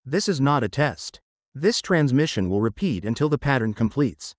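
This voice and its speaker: A solemn male emergency broadcast announcer, acted out by a man delivers an eerie official warning in analog horror style. His voice sits at a medium pitch and moves with lively intonation. The delivery is formal, measured and detached, recorded close and dry without room sound. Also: no echo. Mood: ominous, controlled. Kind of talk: scripted analog horror public advisory narration.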